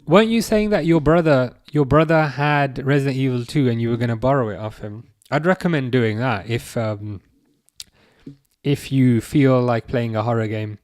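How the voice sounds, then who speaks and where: A man hosting a live watch-along stream, chatting casually with viewers in a relaxed, hesitant way with plenty of fillers. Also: mid-range voice, normal speed, dry recording, studio quality. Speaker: livestream host reading chat.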